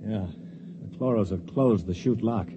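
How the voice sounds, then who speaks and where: A man's voice: on the low side, normal speed, highs cut off. A man narrating and playing the wry, commanding lead of a 1950s science fiction radio drama. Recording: noisy 1950s radio broadcast.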